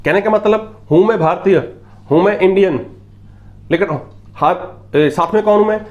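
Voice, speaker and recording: A man's voice: high voice, moderate pace, muffled recording. A man from Punjab, preaching a sermon in a repetitive, emphatic teaching style and asking rhetorical questions. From Punjab, India. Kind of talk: Hindi sermon with Bible readings.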